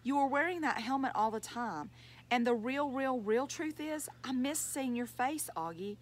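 A woman's voice: mid-range voice, animated, brisk, a bit bright, slight background noise, close to an everyday voice. A woman voicing a boy's doting, easygoing father in a storybook, affectionate and coaxing. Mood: tender, pleading.

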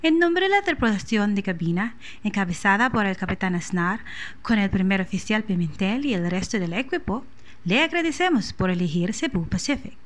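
A woman's voice: mid-range voice, expressive, natural voice. A young woman with a Filipino accent voicing a polite, formal flight attendant reading a scripted in-flight announcement. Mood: courteous, formal. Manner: formal, measured, reading aloud. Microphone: earphone mic held near mouth.

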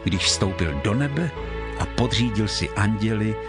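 A man with a fairly low voice reads scripture for an audio Bible. His delivery is solemn and measured, each phrase set down deliberately. Music plays clearly behind him throughout. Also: lively intonation. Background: background music.

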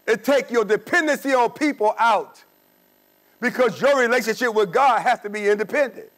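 A man's voice: extremely high pitch, moderate pace, a bit bright. A man in his sixties preaching a sermon in a church, emphatic, with call-and-response questions. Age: 60s. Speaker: pastor. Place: church sanctuary with stone wall.

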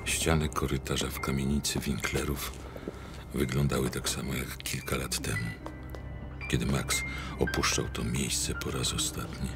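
An actor as a solemn storyteller, reading scripted narration for a brooding audio drama. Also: male voice, rumbling low.

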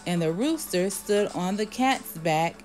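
A woman reading a children's storybook aloud in a measured way, announcing each part before she reads it. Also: medium pitch, animated, a little mellow, soft background music. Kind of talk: storybook read-aloud, scripted narration.

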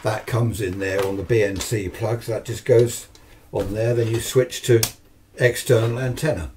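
A man's voice: lowish voice, fairly even intonation, normal speed, a little mellow, a little hiss or hum. An older man with a southern English accent, chatting casually through an unscripted demonstration, rambling with little asides and questions to himself. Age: older, 60s or 70s. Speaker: amateur radio hobbyist presenting a video. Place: cluttered indoor home radio workshop.

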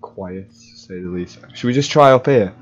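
A man's voice: lowish voice, normal speed, lo-fi sound, soft background music. A young man chatting casually as he improvises through a game roleplay. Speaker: gamer in roleplay series.

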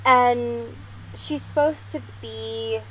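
A woman's voice: fairly high pitch, fairly even intonation, very slow, fairly bright tone, rough recording. A young woman hosting a podcast in a casual, informal, giggly way, with slang and swearing. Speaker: podcast host. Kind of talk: casual chat.

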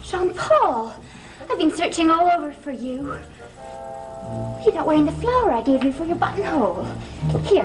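A woman's voice: high-pitched, poor audio. A young actress playing a playful, wilful socialite in a gothic soap opera, girlish and coaxing. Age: young adult. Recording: old TV soundtrack, music behind.

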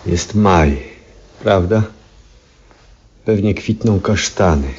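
An actor playing an ailing, elderly astronomer in a 1970s radio drama, weary yet stubborn, with a husky, breathy voice and solemn, halting delivery. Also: male voice, deep voice, a little mellow, a little hiss or hum. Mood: weak, weary. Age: older. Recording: dull vintage radio recording.

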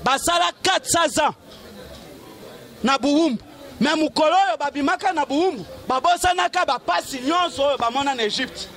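A man's voice: extremely high pitch, normal speed. A middle-aged man with a Congolese accent giving an impassioned, emphatic political speech in the street, speaking into a handheld microphone. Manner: declamatory, emphatic, often shouting. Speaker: political activist, street orator. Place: outdoors on a street among onlookers.

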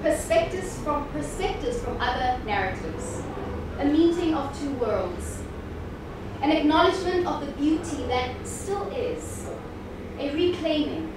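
High-voiced South African woman performing poetry slowly. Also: very animated. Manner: dramatic, deliberate, emphatic delivery with pauses and repetition. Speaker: poet performer. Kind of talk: spoken-word poetry performance. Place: live event in an echoey indoor venue. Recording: echoey room, distant mic, clear background noise.

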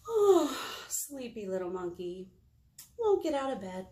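An American woman in her thirties hosting a children's storytime, expressive and talking directly to small children in a room. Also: a little high, measured pace, slight background noise. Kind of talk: children's storytime read-aloud with singing. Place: small indoor meeting room. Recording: roomy indoor echo.

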